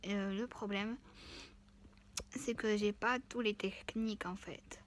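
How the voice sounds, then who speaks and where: A woman's voice: medium pitch, a little hiss or hum. A woman in her twenties with the flu and a stuffy nose, chatting casually in a vlog and rambling with many fillers. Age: 20s. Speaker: vlogger. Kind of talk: casual chat.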